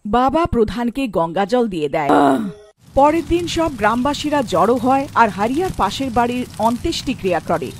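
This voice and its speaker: A woman's voice: on the high side, big pitch swings, a little fast, a little hiss or hum. A woman reading scripted fairy tale narration as a storyteller.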